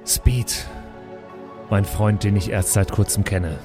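Man acting as solemn orator, very slow pace, deliberate rhetorical phrasing, improvised roleplay drama, clear music behind. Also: fairly low pitch, steady tone, voice pushed down. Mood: solemn persuasion. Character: slow, solemn male would-be persuasive orator. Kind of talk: improvised in-character tabletop roleplay speech.

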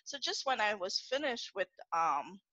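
A woman's voice: mid-range voice, normal speed, dry recording. Middle-aged woman, Trinidadian accent, chatty storyteller, dry close sound, a bit muffled. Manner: chatty, laughing storyteller with lively asides. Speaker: guest. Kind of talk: casual podcast interview.

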